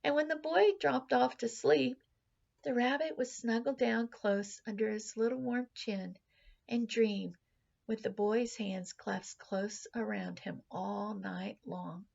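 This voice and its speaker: Creaky-voiced woman reading a storybook. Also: fairly high pitch, steady tone, slow pace, darkish tone, muffled recording. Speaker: teacher reading a children's story aloud. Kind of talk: expressive storybook read-aloud narration.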